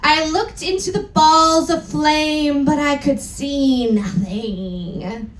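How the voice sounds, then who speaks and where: Female dramatic reader for comic fiction: exaggerated, playful, sweary, unhurried, in an echoey live room with faint noise. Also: high voice. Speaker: performer of a dramatic reading. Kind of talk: theatrical comic story reading with ad-libbed asides. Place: indoor live stage event.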